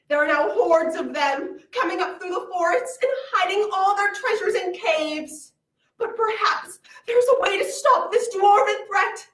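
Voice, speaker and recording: A woman playing a self-important female cartoon voice actress in a comic stage monologue, with theatrical swings in pitch and loudness. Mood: dramatic narration. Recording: roomy, from a distant mic.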